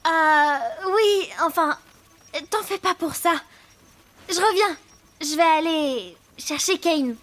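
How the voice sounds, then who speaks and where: A woman's voice: extremely high pitch, slow, fairly bright tone, full character voice. A woman voicing a jittery, bewildered cartoon newcomer who talks in a hesitant, uneasy way. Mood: hesitant, guilty. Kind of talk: cartoon dubbing.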